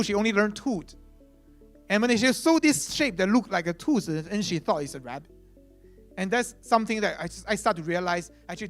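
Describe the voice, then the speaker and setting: Man presenting, native Chinese speaker. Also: sits high. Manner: conversational, frequent "uh" and "right?" tags. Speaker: chief product officer presenting. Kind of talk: conference keynote talk.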